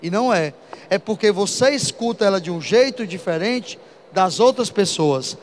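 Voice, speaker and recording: A man teaching an exam-prep physics lesson, talking straight to his students with lively asides. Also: sits high, moderate pace, slight background noise.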